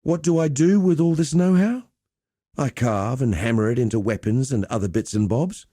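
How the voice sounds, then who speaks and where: An actor playing a roguish, cheerful veteran pit fighter in a video game, talking in a colloquial, wry, swaggering storyteller's way. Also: male voice, mid-range voice, normal speed, dark tone, breathy edge, no echo, clean studio sound, lightly acted. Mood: earnest, admiring. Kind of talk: scripted game dialogue.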